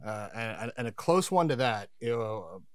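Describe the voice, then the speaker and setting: A man's voice: mid-range voice, very animated, moderate pace, no echo, clean studio sound. A man appearing as a podcast guest, telling stories in a friendly, reminiscing way. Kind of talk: casual conversation.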